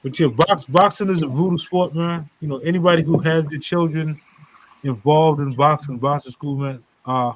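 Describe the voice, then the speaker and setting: A man and parent speaking in a measured, advising way in a casual livestream chat. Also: fairly high pitch, steady tone, poor audio.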